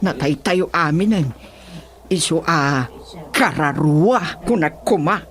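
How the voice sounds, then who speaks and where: A woman playing an excitable, melodramatic comic character in a radio comedy drama. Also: sits low, takes it slowly, slight background noise. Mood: subdued.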